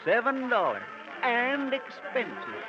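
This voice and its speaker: An actor playing an eager, self-important small-town sheriff in a 1940s radio drama. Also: male voice, extremely high pitch, huge pitch swings, takes it slowly, heavily acted. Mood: eager, excited. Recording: noisy, muffled 1940s radio recording.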